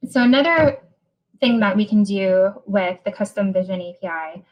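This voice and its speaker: A woman from Hawaii with a Hawaiian accent, giving a technical webinar talk over a video call, often pausing and repeating words as she explains. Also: medium pitch, expressive, dull audio. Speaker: presenter.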